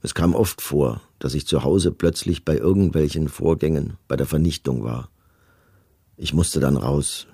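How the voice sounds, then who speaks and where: An actor playing a middle-aged camp commandant in a documentary radio play, reading testimony in a measured, matter-of-fact, bureaucratic manner. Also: male voice, rumbling low, creaky, dark tone, husky, dry recording, clean studio sound, exaggerated voice. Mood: sombre, confessional.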